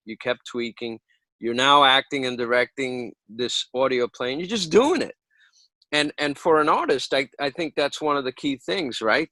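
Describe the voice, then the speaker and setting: A man's voice: medium pitch, bright, forceful tone, no echo. A man hosting a casual interview, affirming his guest and asking questions in an encouraging way. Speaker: interviewer, host.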